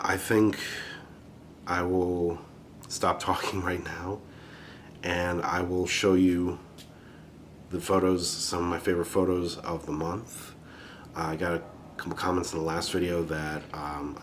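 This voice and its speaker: A man's voice: deep voice, slight background noise. A man with a cold, hosting his own photography channel and chatting loosely through his month, with fillers and restarts. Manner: relaxed, rambling, with frequent fillers and restarts. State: has a cold. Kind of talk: casual monthly photo recap.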